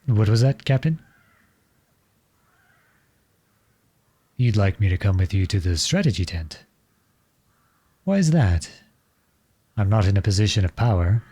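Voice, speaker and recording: A man playing an outlawed young prince serving as a lowly soldier in a roleplay audio drama, speaking in a formal, measured, courteous and earnest way. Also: big pitch swings, mellow. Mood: polite, wry.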